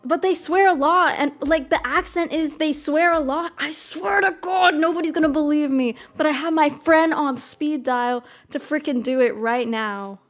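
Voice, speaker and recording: A woman's voice: high-pitched, moderate pace, hardly any pauses. A young woman with a mild Midwestern American accent, chatting casually in a bubbly, rambling way. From American South. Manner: bubbly, rambling, lots of "freaking" and "oh my God". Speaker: streamer. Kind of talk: casual chat. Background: soft piano music.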